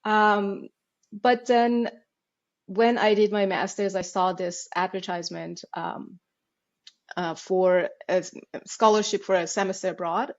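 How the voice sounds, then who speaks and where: A woman's voice: medium pitch, measured pace, highs cut off. Woman telling her story. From Iran. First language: Persian. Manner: animated storyteller, laughs often at herself. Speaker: guest. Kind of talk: podcast interview.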